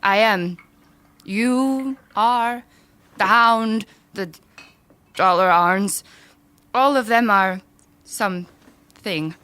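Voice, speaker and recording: A very drunk woman in an audio drama, speaking slowly at a middling pitch. She is pompous and over-formal, slurring carefully as she insists on her dignity. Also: bright, forceful tone, clean tone. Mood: drunk, proud. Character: very drunk woman official, pompously literal, proud and wobbly.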